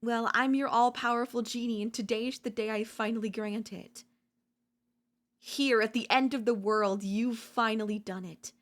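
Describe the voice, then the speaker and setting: A woman voice-acting an obsessive, unhinged yandere in a dark ASMR audio roleplay, theatrical and taunting, mocking and seductive. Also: fairly high pitch, steady tone, moderate pace, lightly acted. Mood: possessive, triumphant. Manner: theatrical, taunting, swinging between intimate murmurs and intense outbursts.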